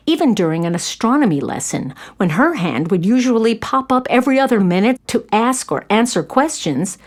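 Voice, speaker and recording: Very animated woman narrating a children's story. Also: a little high. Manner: expressive storytelling.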